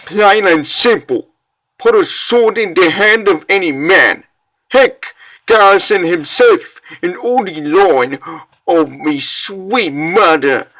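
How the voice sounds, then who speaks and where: A man voicing a gruff, scornful veteran gate guard in a fantasy comic dub, blunt and full of old-fashioned oaths. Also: sits high, fairly bright tone, heavily acted, low-quality recording.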